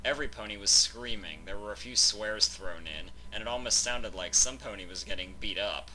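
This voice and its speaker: A man's voice: on the low side, moderate pace, crowd noise, low-quality recording. A man reading a scripted fan fiction story as its narrator.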